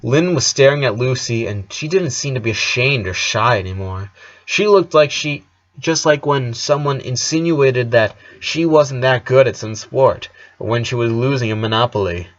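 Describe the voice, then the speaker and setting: Male narrator for fiction, medium pitch, home-recorded and a little muffled. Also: lively intonation, moderate pace. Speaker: story reader. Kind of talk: scripted narration of fan fiction.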